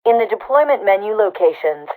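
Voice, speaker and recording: A woman playing an authoritative military commander who makes scripted announcements in a sci-fi game, with crisp delivery through a radio comms filter. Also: medium pitch, lively intonation, a little fast. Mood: steady instruction. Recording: narrow phone-like radio sound.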